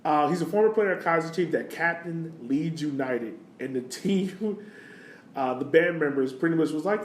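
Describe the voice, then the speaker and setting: A man's voice: a little high, normal speed. A man co-hosting a podcast with casual, conversational sports chat. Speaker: podcast co-host. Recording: echoey room, faint background noise.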